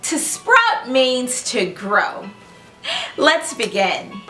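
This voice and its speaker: A woman hosting a children's read-aloud, narrating in an animated, expressive storybook style and speaking directly to young listeners. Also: fairly high pitch, extremely slow, reverberant, soft background music.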